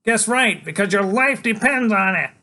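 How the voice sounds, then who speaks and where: A man reading an audiobook and voicing a small, brainy, bold young boy who talks in a shrill, fierce, excitable way. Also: moderate pace.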